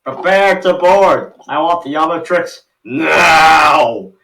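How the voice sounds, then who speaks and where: A man's voice: a little high, lively intonation, slow, reverberant, distant mic, pitched above the performer's own voice, exaggerated voice, rough recording. A man voicing a menacing alien warlord who roars commands in a cartoon script read. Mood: furious, commanding. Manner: roaring, commanding shouts.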